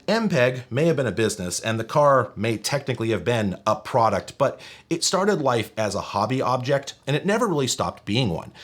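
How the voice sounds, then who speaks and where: Man narrating with lively, swooping intonation. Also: medium pitch. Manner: wry, opinionated, emphatic phrasing. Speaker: video essay host and narrator. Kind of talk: scripted tech history narration.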